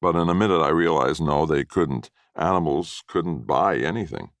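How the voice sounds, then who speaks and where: A man's voice: rumbling low, moderate pace, no room sound, clean studio sound. A man narrating a first-person short story expressively in a folksy storytelling manner.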